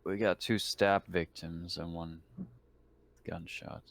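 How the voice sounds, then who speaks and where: A man's voice: deep voice, flat delivery, slow, gravelly. A man playing a tabletop roleplaying game, talking casually, partly in character.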